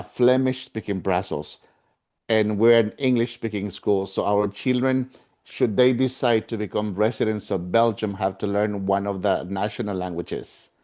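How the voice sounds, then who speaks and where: A man's voice: on the low side, darkish tone. A man from Mexico, an audience member on a video call, asking a thoughtful question with some hesitation. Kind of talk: conversational question.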